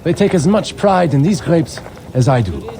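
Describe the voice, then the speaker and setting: A man's voice: fairly high pitch, very animated, moderate pace, creaky, a crowd in the background. Proud, swooping French nobleman. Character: proud, visionary French nobleman and vineyard owner. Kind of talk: dramatic game cutscene acting.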